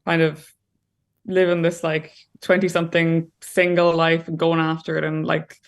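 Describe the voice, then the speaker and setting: A woman's voice: low voice, flat delivery, normal speed. A young Irish woman talking as an interview guest over a video call from a room at home, chatty and full of fillers. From Ireland. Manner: chatty, lots of "like" and "you know". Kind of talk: casual interview talk.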